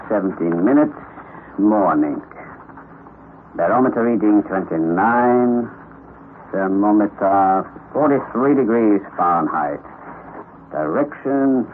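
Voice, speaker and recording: An actor playing a commanding professor uncle leading an expedition, in a 1930s radio drama, on a tinny old recording. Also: male voice, lowish voice, slight background noise. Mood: methodical. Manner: commanding, gives orders.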